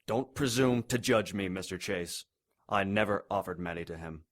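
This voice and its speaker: An actor playing a haughty, scheming, dying old man in an audio drama, formal and indignant, often clearing his throat. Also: deep voice, normal speed, close to an everyday voice. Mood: haughty, threatening. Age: older. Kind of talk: audio drama villain acting.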